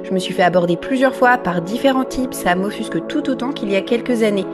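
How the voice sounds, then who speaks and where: A woman's voice: mid-range voice, fairly quick, music in the background. Woman narrating a vlog voice-over. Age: 30s. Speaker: vlogger.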